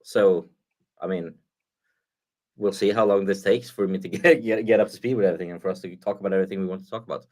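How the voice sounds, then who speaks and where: A man's voice: sits low, fast talker, a little mellow. A Swedish man, a native Swedish speaker, chatting casually as a guest on a live stream, with frequent stops and restarts. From Sweden.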